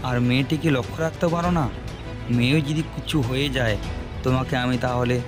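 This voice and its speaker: A man's voice: medium pitch, fairly even intonation, quick pace, lightly acted. A man voicing a cartoon village father who harshly scolds his wife for losing their daughter. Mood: scolding. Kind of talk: cartoon voice acting. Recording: loud background music.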